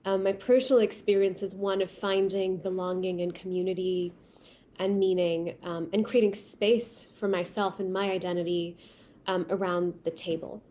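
A woman's voice: lowish voice, flat delivery, moderate pace, a little hiss or hum. Pakistan-raised woman founder, conversational. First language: Urdu. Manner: warm storytelling with frequent restarts. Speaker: guest, company founder. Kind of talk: remote interview conversation. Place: remote call from home.

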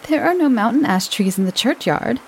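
A woman voicing a chatty, self-assured lady who speaks in bright, gushing exclamations. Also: medium pitch, expressive, normal speed, vocal fry, a little hiss or hum. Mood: gushing arch. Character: chatty, gushing upper-class English lady.

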